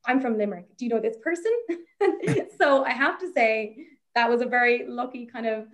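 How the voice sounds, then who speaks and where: A woman's voice: a little high, lively intonation, moderate pace. A young Irish woman researcher, chatting as a guest and telling a story in a rambling way, with plenty of laughter and fillers. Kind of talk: casual anecdote on a podcast.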